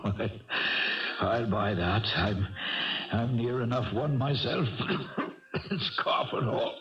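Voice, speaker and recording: A man's voice: medium pitch, takes it slowly, fairly bright tone, recorded from a distance. A man playing a humble, wistful, dying old horse owner in a radio drama, stammering and repeating words. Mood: weary, wry. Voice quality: coughing, breathy laughs. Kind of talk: 1970s radio drama acting. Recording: muffled 1970s radio broadcast, music behind.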